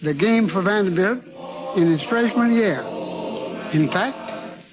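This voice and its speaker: An elderly man with a Southern US accent, a retired professor reminiscing in a deliberate, formal, old-fashioned manner. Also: high voice, lively intonation. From Mississippi, United States. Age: 70s or older. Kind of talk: reminiscing in a recorded interview. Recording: dull 1950s tape, crowd noise behind.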